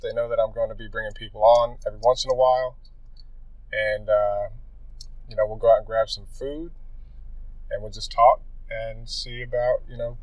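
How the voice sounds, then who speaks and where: A man hosts a relaxed interview in a mid-pitched voice, friendly and encouraging. His phrases run on loosely, and he sounds a little away from the microphone. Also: dry recording. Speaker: host. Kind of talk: casual interview chat. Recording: voice picked up from a distance from the mic.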